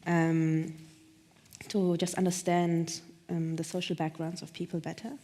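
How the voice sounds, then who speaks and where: Lower-pitched woman hosting a live talk. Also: level tone, unhurried, mellow. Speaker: talk host. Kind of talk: live on-stage interview. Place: live stage event at a theatre.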